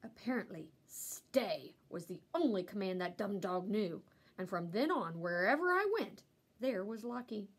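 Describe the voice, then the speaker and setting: A woman reading a children's storybook aloud like a teacher, expressively and with animated delivery. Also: medium pitch, slightly rough. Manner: animated, pausing to ask listeners questions.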